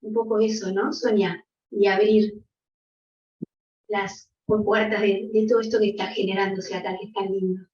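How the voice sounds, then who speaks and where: An older woman speaking reflectively and gently as a panelist on a video call, often ending with a soft "¿no?". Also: mid-range voice, little pitch movement, moderate pace. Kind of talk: reflective conversational talk. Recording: distant, roomy, dull.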